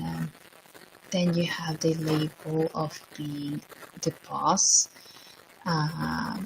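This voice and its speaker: A middle-aged woman from Colombia, speaking, gives a slow, reflective motivational livestream talk while sick, with many ums. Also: fairly low pitch, gravelly, a little mellow, slight background noise, low-quality recording. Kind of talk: livestream monologue.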